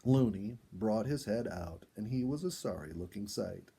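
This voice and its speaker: A man reading a children's story aloud as an expressive storyteller in scripted narration. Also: lowish voice, moderate pace, vocal fry, breathy edge.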